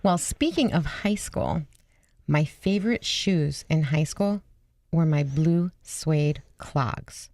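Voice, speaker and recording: A woman's voice: sits low, expressive, slow, a little mellow, no room sound. A woman co-hosting a casual radio show, talking in a chatty, enthusiastic way. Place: radio studio.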